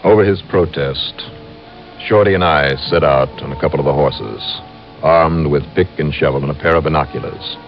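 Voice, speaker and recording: A man's voice: extremely low pitch, moderate pace. An actor playing a tough, determined male investigator in an old-time radio drama, narrating his case in a clipped, dramatic, hard-boiled manner. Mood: grim narration. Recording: muffled vintage radio recording, dramatic music behind.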